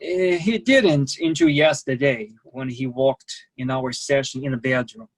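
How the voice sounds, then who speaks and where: A man's voice: mid-range voice, a little slow, close to an everyday voice. Man acting a candid, resigned foreign masseur. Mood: resigned, explaining. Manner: clipped non-native grammar, matter-of-fact. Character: male immigrant massage therapist, candid, resigned, servile lover. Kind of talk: staged play reading.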